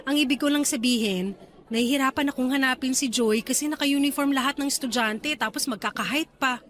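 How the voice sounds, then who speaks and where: High-voiced feisty young Filipino aunt. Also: female voice, a little fast. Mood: chatty. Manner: expressive, talks back. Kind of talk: Filipino radio drama acting.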